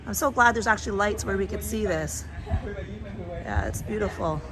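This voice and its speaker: A woman with a raspy, hoarse voice from a sore throat, chatting as she live-streams a walk outdoors at night, holding a phone. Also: medium pitch, normal speed, a crowd in the background, low-quality recording. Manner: chatty, rambling, greets viewers. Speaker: travel vlogger. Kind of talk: live-stream walking vlog. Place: outdoors on a town street at night. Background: street and traffic noise.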